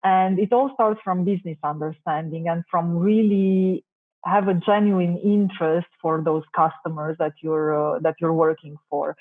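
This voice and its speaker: A woman's voice: fairly low pitch, steady tone, moderate pace. A woman from Romania, a native Romanian speaker, answering interview questions thoughtfully with many hesitations. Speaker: marketing director, guest. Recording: tinny phone-like call audio.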